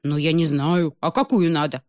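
A woman voicing a gruff, blustering sea king father. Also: deep voice, steady tone, moderate pace, darkish tone, no echo, studio quality, natural voice. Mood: puzzled.

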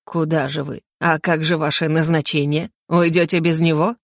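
A woman voicing a smug, sarcastic male mage official in an audiobook, with a slow, mock-courteous, sneering delivery. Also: lowish voice, no echo, clean studio sound, close to an everyday voice. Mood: mocking, sly. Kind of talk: audiobook character acting.

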